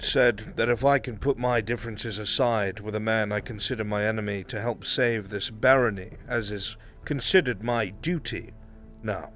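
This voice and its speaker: A man playing a proud, indignant war lord, making loud, formal accusations in a fantasy roleplay. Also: on the low side, lightly acted.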